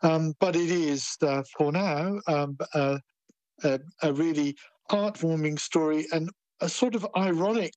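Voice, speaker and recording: A middle-aged man from Australia speaks as an academic guest in a radio interview, thinking aloud with many restarts and pauses. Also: a little high, a little mellow, dry recording. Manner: hesitant, many fillers and restarts.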